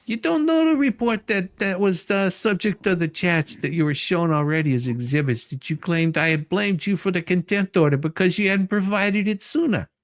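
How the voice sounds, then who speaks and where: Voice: medium pitch, normal speed, hardly any pauses, no echo, studio quality, close to an everyday voice. A performer reading the part of a pushy, testy male lawyer who argues insistently with a witness. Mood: testy, argumentative. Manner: insistent, argumentative. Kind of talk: dramatic reading of a deposition transcript.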